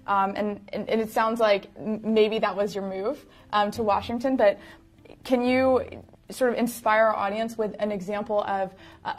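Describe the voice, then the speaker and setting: Young woman reading viewer questions, lots of ums, soft and hesitant. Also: mid-range voice. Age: young adult. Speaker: interviewer. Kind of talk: reading audience questions in an interview. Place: small indoor studio set.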